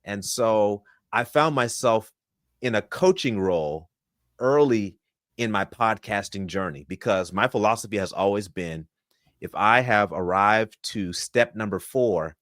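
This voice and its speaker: Man in his thirties, low voice, dry close mic, upbeat polished podcast guest. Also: unhurried, fairly bright tone, dry recording. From Florida, USA. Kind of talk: conversational interview answers.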